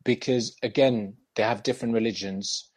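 Reflective French man, thinking out loud. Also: lowish voice, fairly even intonation, normal speed, husky. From France, Paris. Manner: reflective, loose, with many "like" and "you know" fillers. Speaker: guest, actor. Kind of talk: podcast interview.

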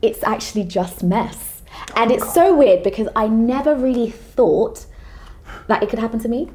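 Animated young woman chatting casually. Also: a little high, moderate pace. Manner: animated, expressive, breaks into laughs. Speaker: podcast co-host. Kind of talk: casual chat.

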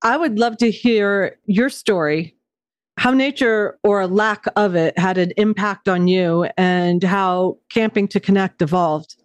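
A woman hosting a podcast, reading a scripted introduction in an earnest, measured way before interviewing a guest. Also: medium pitch, no echo. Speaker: podcast host.

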